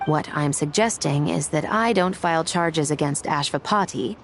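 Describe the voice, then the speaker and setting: A firm, low-voiced officer. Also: female voice. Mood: stern. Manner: formal, firm and measured. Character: composed female police officer, adult. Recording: game dialogue over loud music.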